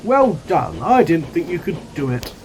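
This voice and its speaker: A narrator voicing a pompous big male express engine in a children's story, booming and mocking. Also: big pitch swings, unhurried, darkish tone, slight background noise.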